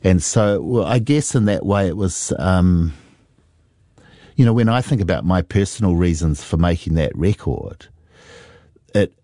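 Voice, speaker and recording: Deep, dark-voiced New Zealand man. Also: lively intonation, dry recording, studio quality. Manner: reflective, unhurried, many fillers. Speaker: musician guest. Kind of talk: relaxed interview storytelling.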